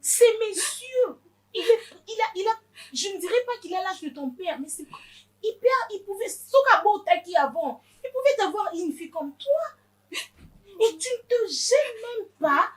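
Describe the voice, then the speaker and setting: Casting: Congolese mother played by a woman, middle-aged, furious and hurt, piercingly high voice, relentless repetitive scolding for screen melodrama. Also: animated, a little slow. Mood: anguished scolding. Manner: scolding, repeats herself insistently. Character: furious, wounded mother scolding her teenage daughter. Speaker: actress in a film drama. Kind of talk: improvised-sounding melodrama, switching between French and Lingala.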